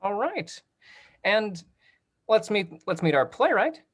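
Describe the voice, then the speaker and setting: Man, animated intonation, casual hosting, encouraging, affirming. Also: high voice, slow pace, slightly rough. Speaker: moderator. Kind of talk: casual hosted discussion.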